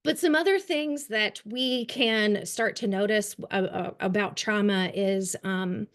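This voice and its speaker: A woman's voice: mid-range voice, a little slow. A woman with a Kentucky accent giving a trauma-informed care webinar on a video call, chatty and full of ums and light laughs. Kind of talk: webinar presentation.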